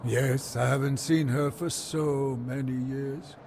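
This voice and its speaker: Slow, gravelly old village sorcerer. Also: male voice, mid-range voice, steady tone, noisy background. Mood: wistful. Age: older. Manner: solemn, wistful. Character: grieving old village sorcerer, forgetful and solemn. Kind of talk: fantasy video game voice acting. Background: music.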